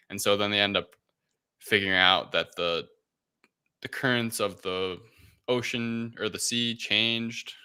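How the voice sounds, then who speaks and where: A man hosting a casual film review discussion, recapping in a halting, hedging way. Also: low-pitched, pushed and bright, clear, resonant tone. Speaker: podcast host.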